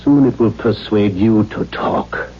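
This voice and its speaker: An actor playing a cruel, domineering middle-aged father in a 1970s radio drama, mock-gentle and formal as he menaces his daughter. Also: fairly low pitch, a little slow, natural voice. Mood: threatening, gloating. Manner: mock-gentle, formal phrasing, erupting into demands. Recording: dull, noisy 1970s radio recording.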